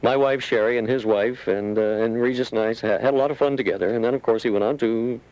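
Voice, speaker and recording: An older man speaks as a veteran radio guest in a studio. His voice sits at an average pitch, and he tells his stories in a conversational, anecdotal way. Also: fairly even intonation, fast, a little mellow, rough recording.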